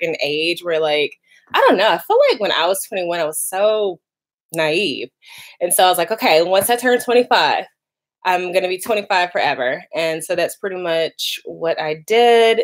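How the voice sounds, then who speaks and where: A woman, chatting casually on a live stream and reading out viewer comments. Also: medium pitch. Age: 30s. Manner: chatty, lots of "like" and "y'all", laughs easily. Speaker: vlogger doing a live stream.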